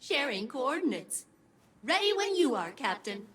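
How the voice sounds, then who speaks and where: A woman voicing a quirky, eager, helpful female ship AI in a video game, chirpy, robotic and expressive. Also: high voice, unhurried, slight background noise, heavily acted. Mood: businesslike.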